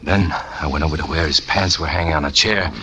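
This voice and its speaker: A man's voice: a bass voice, a little fast, background noise. An actor playing a tormented, jealous man who narrates his crime with brooding intensity, in 1940s radio drama style. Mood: brooding, tense. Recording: muffled old radio recording with music.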